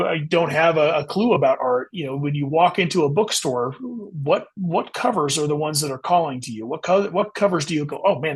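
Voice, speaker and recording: A man's voice: fairly high pitch, breathy edge. A man speaking as an author guest in a casual interview, explaining things and often saying "you know". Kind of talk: casual interview talk about writing.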